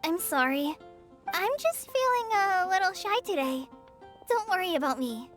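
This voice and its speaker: A woman's voice: extremely high pitch, big pitch swings, a little slow, soft background music, heavily acted. A woman voicing a shy, earnest, polite young girl with a hesitant manner in a video game. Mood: shy, apologetic. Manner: hesitant, soft-spoken.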